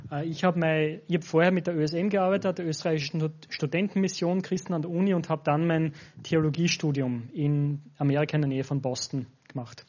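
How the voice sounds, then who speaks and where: A man's voice: on the high side, steady tone, a little fast, hardly any pauses, darkish tone. An Austrian man in his forties, a pastor speaking informally and directly to a church congregation as a guest. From Styria, Austria. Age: 40s. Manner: informal, addresses listeners directly. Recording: dull, lo-fi sound.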